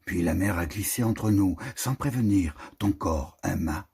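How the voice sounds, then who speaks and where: Slow, husky man reciting poetry. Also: deep voice, darkish tone. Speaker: reader of a prose poem. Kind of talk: literary poetry reading.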